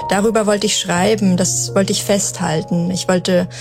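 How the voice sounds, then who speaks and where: A woman's voice: medium pitch, fairly even intonation, moderate pace. A woman from Germany, an author, talking about her memories and her writing in an interview clip. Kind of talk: interview recollection. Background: music behind the voice.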